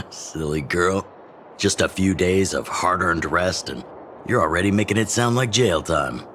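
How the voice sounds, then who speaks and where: A man's voice: sits low, lively intonation, slow, sharp tone, a little hiss or hum, exaggerated voice. An actor playing a hearty, fatherly, teasing middle-aged chief and mentor in a video game. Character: older male underground chief, genial, teasing mentor. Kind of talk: video game dialogue acting.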